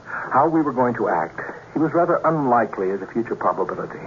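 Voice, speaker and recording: A man's voice: mid-range voice, expressive, fast talker, close to an everyday voice. A man playing an earnest, harried young lover who explains and pleads, with an American accent, in a 1950s radio drama. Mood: earnest, reasoning. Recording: muffled, noisy 1950s radio recording.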